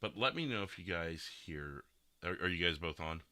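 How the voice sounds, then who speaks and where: Deep, bright-voiced man hosting casually. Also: expressive, normal speed, no echo. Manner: rambling, self-deprecating, joking. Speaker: podcast host and game streamer. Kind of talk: casual podcast chat.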